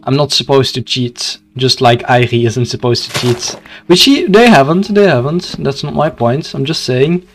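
A man's voice: medium pitch, animated, normal speed, slight background noise. A young man from Belgium with a Dutch accent, casually commenting on a game for his live chat and often tripping over his words. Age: young adult. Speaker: video game livestreamer.

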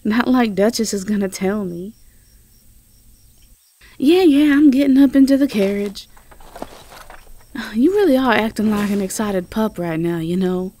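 A woman's voice: medium pitch, unhurried, pauses often, mellow, natural voice. A woman playing a sweet, flustered Southern belle girlfriend in an intimate roleplay audio drama, with a Southern US accent and an affectionate, stammering delivery. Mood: playful, teasing.

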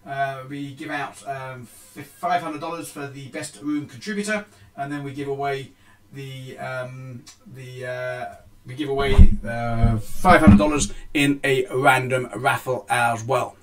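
A middle-aged man hosts a live forex trading stream, explaining the markets in a halting way with many ums and restarts. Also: mid-range voice, steady tone, fairly bright tone, rough recording. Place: small indoor room.

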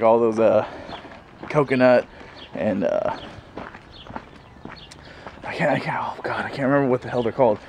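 Guy in his 30s rambling to his camera outside, lots of uhs, bit of outdoor noise. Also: medium pitch. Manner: rambling, many fillers, chuckles often. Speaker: vlogger. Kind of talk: casual rambling vlog. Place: outdoors in a sunny backyard. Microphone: handheld camera at arm's length. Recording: faint outdoor background noise.

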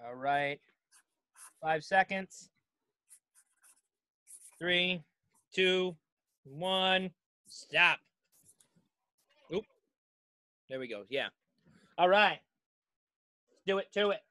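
Bright, high-voiced man hosting casually. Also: very slow, pauses often, clear, resonant tone, dry recording, studio quality. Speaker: podcast host. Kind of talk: casual playful chat. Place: home basement.

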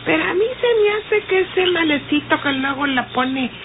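A woman's voice: high voice, moderate pace. An actress playing an anxious, talkative woman in an old radio melodrama, with a Mexican accent. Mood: gossipy, confiding. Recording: very noisy, tinny old radio recording.

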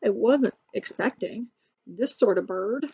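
A woman voicing a wistful, tender tree kangaroo mother from a picture storybook, with expressive delivery. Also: mid-range voice, measured pace, slightly rough, no echo, poor audio. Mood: loving surprise.

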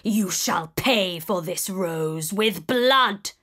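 A woman voicing a hushed, gruff storybook beast. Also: animated, very slow, exaggerated voice. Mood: menacing. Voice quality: low breathy whisper. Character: huge gruff male beast, sad and brooding.

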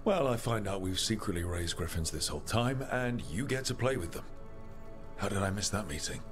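An actor playing an irreverent, easygoing warden hero with a sarcastic manner in video game dialogue. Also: male voice, lowish voice, animated, brisk, faint music behind, close to an everyday voice. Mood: sardonic banter.

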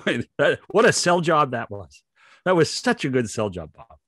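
A man's voice: a little high, sing-song, moderate pace, fairly bright tone, no room sound, clean studio sound. An older man with a North American accent giving casual sports commentary as a co-host, repeating words for emphasis. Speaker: podcast co-host. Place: home room on a video call. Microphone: desk microphone close up.

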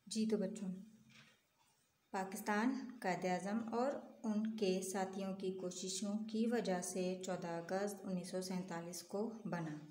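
A woman's voice: mid-range voice, fairly even intonation, unhurried, darkish tone. Echoey patient teacher woman. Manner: patient, question-and-answer teaching. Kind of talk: online lesson for young children. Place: room at a desk, voice over an open textbook. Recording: echoey room with faint ambience.

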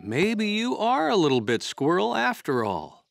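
A man's voice: high voice, wildly swooping pitch, unhurried, creaky, soft background music, exaggerated voice. A patient, kindly cartoon man. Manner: patient, explaining gently. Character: kind adult man, patient caretaker of a monkey.

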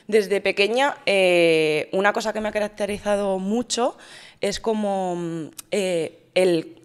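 A woman's voice: mid-range voice, takes it slowly, clean tone. Chatty woman guest, mid voice, hall reverb. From Madrid, Spain. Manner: chatty, many fillers, laughs easily. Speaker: guest, fitness influencer. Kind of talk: casual interview talk. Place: large gym hall. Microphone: studio mic on a stand.

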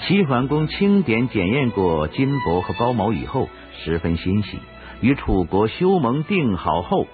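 音调起伏大的男声广播剧旁白. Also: male voice, medium pitch, very animated, a little slow. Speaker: narrator. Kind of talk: dramatic scripted storytelling narration for radio drama. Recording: dull recording with music behind. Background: music.

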